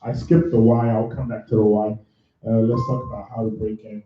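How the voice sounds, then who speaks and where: A man's voice: on the low side, level tone, brisk, slight background noise. A man from Nigeria hosting a live career workshop, chatty and self-correcting as he tells his story to the room. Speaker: career bootcamp host and mentor. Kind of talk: live workshop talk with audience. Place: large room with live audience. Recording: echoey room, far from the mic, dull sound.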